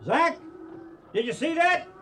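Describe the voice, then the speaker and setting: Commanding western trail boss, animated. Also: male voice, very high-pitched, slow, noisy background, exaggerated voice. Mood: alert. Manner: commanding, plain-spoken. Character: tough, decisive cattle-drive trail boss. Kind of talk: western radio drama acting.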